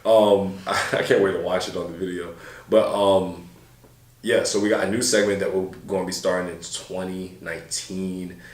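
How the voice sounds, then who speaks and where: A man's voice: low voice, little pitch movement, normal speed. A man co-hosting a podcast, talking casually in a laid-back, slangy way with lots of fillers. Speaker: podcast co-host. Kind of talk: casual chat. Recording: roomy, a bit far from mic.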